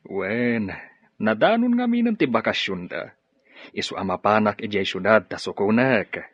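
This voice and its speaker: A gentle middle-aged ilocano dad, husky, dry muffled radio drama sound. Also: male voice, lowish voice, animated, moderate pace, no room sound. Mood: busy, casual. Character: fatherly retired professor and farm owner, gentle.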